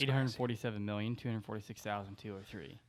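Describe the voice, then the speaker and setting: A man's voice: fairly low pitch, steady tone, quick pace, background noise. A man talking casually on a podcast, reading out facts and figures in conversation.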